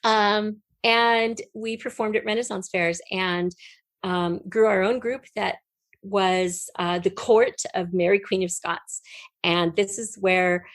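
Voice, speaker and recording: A woman's voice: mid-range voice, slow, no echo. A middle-aged American woman chatting casually as an interview guest, telling personal stories and acting out what people said. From United States. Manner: chatty, animated retelling with acted-out quotes. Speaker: podcast guest, writer and performer.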